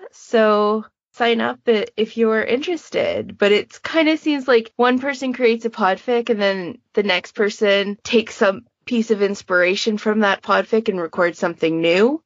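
A woman's voice: fairly high pitch, measured pace, hardly any pauses, no echo, muffled recording. A woman hosting a podcast in a casual, chatty style. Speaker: podcast host.

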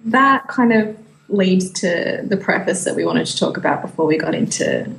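Female co-host, informal and slightly halting, noticeable vocal fry, lowish pitch. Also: lively intonation, fairly bright tone, a little hiss or hum, low-quality recording. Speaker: podcast co-host. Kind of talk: casual podcast discussion.

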